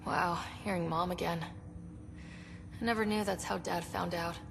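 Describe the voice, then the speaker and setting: A woman's voice: lowish voice, fairly even intonation, normal speed. An actress playing a young female explorer in a video game, casual, wry and direct. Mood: reflective. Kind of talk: scripted video game dialogue. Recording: reverberant space, clear background noise.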